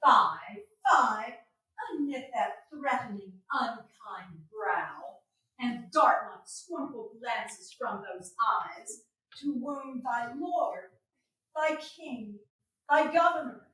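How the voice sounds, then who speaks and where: High, bright woman playing an admonishing wife, echoey. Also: animated, takes it slowly, full character voice. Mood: earnest admonishing. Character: Katherina, tamed wife giving an earnest admonishing speech. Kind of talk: staged Shakespeare reading, declaimed verse. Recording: very echoey room, far from the mic.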